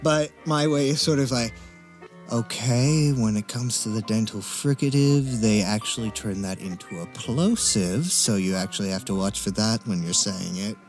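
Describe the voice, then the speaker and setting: A young man who works as a voice actor, answering casually as an interview guest in an articulate way with wry humor and thoughtful pauses. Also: on the low side. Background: music.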